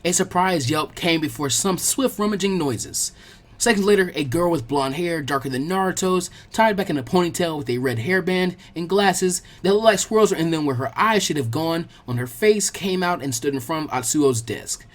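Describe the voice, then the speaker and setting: A man's voice: fairly high pitch, expressive, moderate pace. A man reading scripted fan fiction narration as an audiobook narrator.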